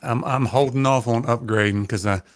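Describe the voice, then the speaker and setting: A man, chatting casually as a guest and pausing often with fillers. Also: medium pitch. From North Carolina. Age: 40s. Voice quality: soothing, gravelly. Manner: relaxed, hesitant, many fillers. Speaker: guest, high school math teacher. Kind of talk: casual livestream chat. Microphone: home podcast mic.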